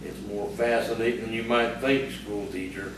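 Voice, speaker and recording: An actor playing a gruff, sarcastic frontier bully in an audio theatre piece, taunting and bossy. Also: male voice, fairly low pitch, steady tone, moderate pace, close to an everyday voice. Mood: needling. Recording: distant, echoey, noisy stage recording.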